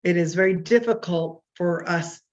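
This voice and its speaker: Hoarse fifty-something woman host, low-pitched, loose and chatty with restarts, muffled recording. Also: moderate pace, a little mellow. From California. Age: 50s. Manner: rambling, self-deprecating, with frequent fillers and restarts. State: hoarse from illness. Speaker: hypnotherapist hosting a show. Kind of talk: casual solo talk show.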